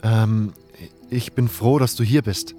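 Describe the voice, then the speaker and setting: A man playing a smitten, awkward young adventurer who speaks haltingly in a scripted fantasy audio drama. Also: fairly low pitch, steady tone, mellow, faint music behind. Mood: shy, flustered. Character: nameless male adventurer, smitten, awkward, self-deprecating hero. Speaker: narrator and lead actor of a fan audio drama. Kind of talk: first-person scripted narration and dialogue.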